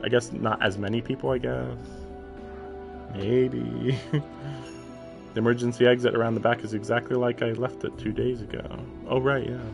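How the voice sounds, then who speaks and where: A man's voice: mid-range voice, little pitch movement, moderate pace, gravelly, long pauses. Casual male streamer reading aloud over music. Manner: informal, questioning asides between read passages. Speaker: live streamer reading a visual novel aloud. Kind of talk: reading narration aloud with casual, puzzled running commentary.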